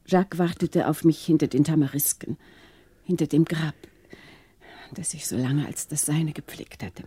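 A woman's voice: low voice, normal speed, dark tone, slightly rough, no room sound. An actress playing a grave, sorrowful wealthy woman confessing in a hesitant, subdued way in a radio crime drama. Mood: subdued confession. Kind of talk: 1960s German radio crime drama acting.

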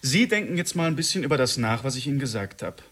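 Husky, cocky gangster hostage-taker. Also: male voice, mid-range voice, animated, quick pace, a bit bright. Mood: quiet menace. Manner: colloquial, taunting. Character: Wayne, menacing male gangster and hostage-taker, cocky, volatile. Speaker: actor. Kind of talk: radio drama acting.

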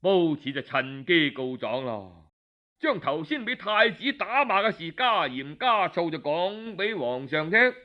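A man with a Cantonese accent telling a historical tale in an expressive, colloquial traditional storytelling style. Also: a little high, measured pace. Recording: dull lo-fi audio.

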